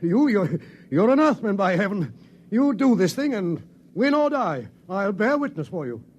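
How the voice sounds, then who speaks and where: A man's voice: sits high, very animated, moderate pace, highs cut off. A male actor playing a blustering, gallant military colonel in a 1950s radio drama. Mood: stirred, gallant. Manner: blustery, stiff-upper-lip military exclamations. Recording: faintly noisy 1950s radio recording.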